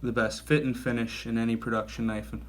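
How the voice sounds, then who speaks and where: A man's voice: medium pitch, level tone, a little fast. A man in his thirties giving a casual gear review, talking in a rambling, self-correcting and enthusiastic way. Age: 30s. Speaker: knife reviewer.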